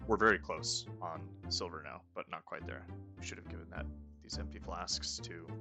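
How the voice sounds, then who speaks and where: A man's voice: deep voice, gravelly. A man casually commenting as he plays a game, chatty and self-mocking as he thinks aloud. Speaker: let's play host.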